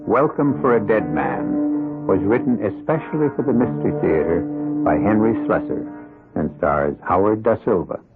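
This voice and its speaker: Creaky low-voiced guy narrating radio mystery, dramatic swoops, music behind, tinny old broadcast. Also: big pitch swings. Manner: deliberate, theatrical, ominous. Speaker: host and narrator.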